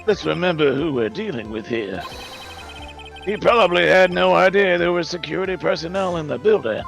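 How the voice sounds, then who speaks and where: Man voicing smug, creaky, theatrical prosecutor. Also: a little high, expressive, moderate pace, a bit bright, soft background music. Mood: smug, dismissive. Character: smug, theatrical male prosecutor, sardonic and dismissive. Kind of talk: live fan dub of a courtroom video game.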